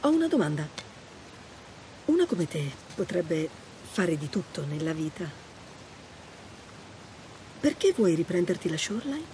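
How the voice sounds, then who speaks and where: A woman's voice: fairly low pitch, sing-song, unhurried, pauses often, warm tone, a little hiss or hum. An actress playing a wry, adventurous treasure hunter in her thirties, teasing and understated, in naturalistic video game dialogue. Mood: curious, wry.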